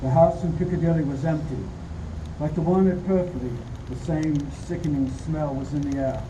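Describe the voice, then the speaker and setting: A distant, reverberant recording of a sober male investigator, played by an actor. His voice sits a little high and he reports formally and matter-of-factly, in radio drama style. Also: steady tone, moderate pace, lightly acted. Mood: grim. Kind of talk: 1930s-style radio play narration. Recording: echoey hall, distant mic, background noise.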